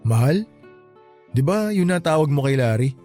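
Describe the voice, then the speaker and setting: An actor playing a smooth, scheming lover with a casual, coaxing, teasing manner in a Tagalog radio drama. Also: male voice, a little high, very animated, moderate pace, warm tone, soft background music. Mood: teasing, flirty. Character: smooth, scheming male lover, a tricycle driver.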